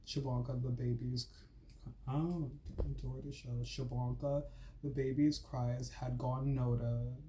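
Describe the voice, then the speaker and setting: A man's voice: mid-range voice, flat delivery, slow pace, warm tone, a little hiss or hum. Casual man who says "like" a lot. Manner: filler-heavy, says "like" and "freaking" often, stumbles and restarts while reading. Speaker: channel host. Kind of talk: casual talk and reading aloud from a school assignment.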